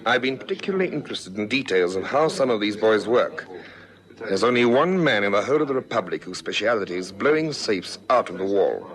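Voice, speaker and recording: Lively-toned, methodical police lieutenant. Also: male voice, fairly low pitch. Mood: quietly confident. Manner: musing, deliberate, clipped phrases. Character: methodical, unhurried male police lieutenant, quietly authoritative. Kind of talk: vintage radio police drama acting. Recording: faintly noisy vintage radio recording.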